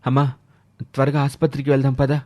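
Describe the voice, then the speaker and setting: A man's voice: medium pitch, completely flat delivery, fast, mellow, natural voice. A man voicing a caring young husband and dutiful son in a family story, mild and soothing in manner. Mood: concerned.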